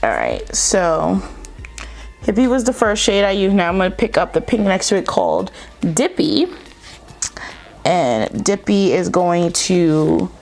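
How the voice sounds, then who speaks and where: A woman's voice: on the low side, creaky. A young woman with a slight lisp, chatting casually through a makeup tutorial with plenty of fillers. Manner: chatty, rambling, lots of fillers. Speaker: beauty vlogger.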